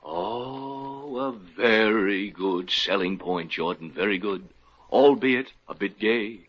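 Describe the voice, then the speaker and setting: An actor playing a solemn older undertaker who sells burial plots, in a 1950s radio comedy. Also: male voice, lowish voice, slow, slightly rough. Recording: dull lo-fi old radio broadcast.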